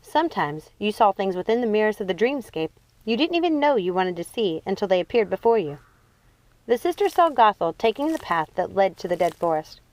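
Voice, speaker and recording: A woman reading a storybook aloud as expressive scripted narration. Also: medium pitch, a little fast, no room sound, poor audio. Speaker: audiobook reader.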